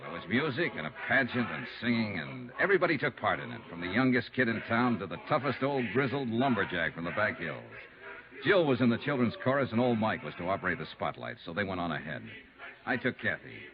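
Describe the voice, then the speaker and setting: An American actor playing a steady, tough but tender investigator who narrates his own story in earnest 1950s radio drama style. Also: lowish voice, fast, crowd noise. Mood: storytelling. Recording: dull vintage radio recording with music.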